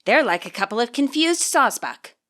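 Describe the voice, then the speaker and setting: Brief: woman reading as a grumbling, defensive teen boy, slow and sour, bright tone. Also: fairly high pitch, sing-song. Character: touchy fourteen-year-old boy, Ortega.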